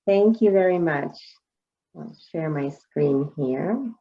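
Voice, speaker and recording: A woman gives an online presentation. Her voice sits low, and her talk is chatty and full of fillers and restarts. The video call audio sounds muffled and lo-fi. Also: takes it slowly, creaky. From United States. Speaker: travel sales trainer. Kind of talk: webinar presentation.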